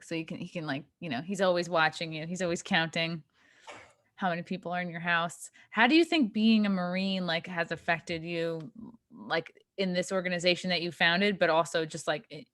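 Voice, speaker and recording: A woman's voice: lowish voice, fairly even intonation, normal speed, a bit bright, no room sound, studio quality. A woman hosting a podcast, chatty and wry, with lots of filler words. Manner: chatty, wry, full of like and you know. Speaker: podcast host. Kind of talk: casual podcast conversation.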